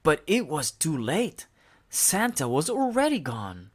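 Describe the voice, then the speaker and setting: A woman reading a children's storybook aloud in an expressive narrating style. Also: sits low, takes it slowly, husky.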